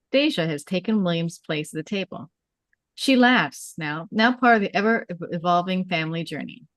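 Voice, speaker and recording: A woman's voice: on the low side, dry recording. A woman narrating a script at a table read, reading out scene headings and stage directions.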